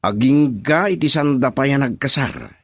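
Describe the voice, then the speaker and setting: An actor playing a pushy, scornful young suitor in an Ilocano radio drama. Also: male voice, medium pitch, expressive, moderate pace, dry recording, clean studio sound. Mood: scheming, incredulous.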